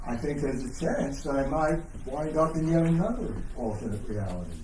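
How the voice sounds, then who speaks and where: A man's voice: on the high side, expressive, brisk, warm tone. A man giving a live dramatic reading of a novel in a bookshop, moving between narration and dialogue. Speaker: author reading from own novel. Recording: echoey distant room recording with noise.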